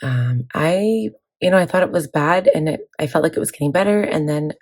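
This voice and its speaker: A woman in her thirties, hoarse from laryngitis, chatting casually about her planner with many fillers. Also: sits low, lively intonation, fairly quick, darkish tone. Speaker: planning vlogger. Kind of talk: casual chat walking through a planner.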